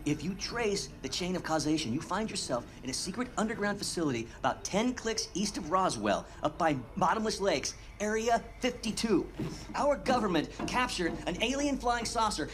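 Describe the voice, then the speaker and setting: An actor playing a manic, foul-mouthed middle-aged American conspiracy theorist in a video game, rambling and excitable. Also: male voice, high voice. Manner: rambling, excitable, sweary, emphatic. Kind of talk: video game cutscene acting.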